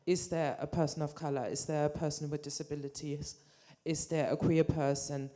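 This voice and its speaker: A woman's voice: sits low, fairly even intonation, moderate pace, dark tone. A woman speaking earnestly on a conference panel, asking pointed questions and using fillers. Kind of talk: panel discussion. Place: conference stage.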